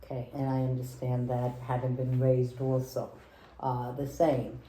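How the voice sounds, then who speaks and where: A woman's voice: very deep voice, completely flat delivery, measured pace, dark tone, echoey room, slight background noise, rough recording. A woman judge hosting a formal interview, gracious and formal with some hesitant fillers.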